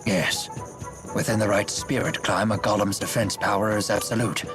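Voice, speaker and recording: A man's voice: fairly low pitch, steady tone, lightly acted. Man playing a genteel older steward, music behind. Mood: grave, composed. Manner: formal, measured, genteel. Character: courteous, wise older male steward and strategist. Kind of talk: English game dub acting. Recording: game soundtrack music behind the voice. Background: background music.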